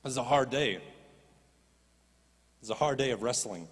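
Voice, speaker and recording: A man's voice: medium pitch, moderate pace, husky. Preaching man in an echoing church hall. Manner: animated, rises to impassioned pleading. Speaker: pastor. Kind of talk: sermon. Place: church stage. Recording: long reverb of a church hall.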